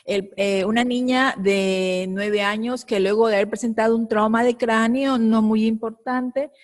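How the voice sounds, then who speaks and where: A woman's voice: on the high side, fairly even intonation, unhurried, no room sound, clean studio sound. A woman from Ecuador, a pediatric neurosurgeon, presenting a case at a conference, hesitant with many fillers.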